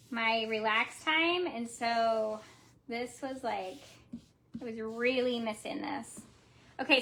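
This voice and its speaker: Bright, high-voiced woman crafting and chatting. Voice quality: slight lisp. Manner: chatty, talks while working, trails off. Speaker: craft vlogger. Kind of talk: casual live craft chat.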